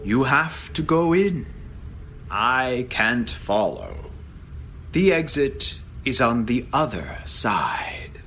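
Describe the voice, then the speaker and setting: An actor playing a menacing haunted-house tour guide in a horror audio drama, with theatrical, partly whispered delivery. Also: male voice, medium pitch, big pitch swings, pushed and bright, heavily acted. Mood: grave warning. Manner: slow, dramatic, with whispered phrases. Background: music.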